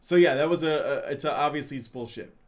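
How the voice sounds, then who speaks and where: A man co-hosting a casual podcast, talking with halting restarts and fillers. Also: fairly high pitch. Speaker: co-host.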